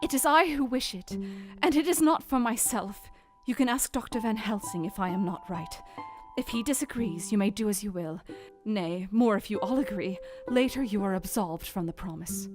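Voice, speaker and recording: Radio drama: woman playing devoted young wife, solemn, imploring, mid-range voice, clear music behind. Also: mid-range voice, moderate pace, close to an everyday voice. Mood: earnest. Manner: solemn, intense pleading. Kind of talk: radio play acting.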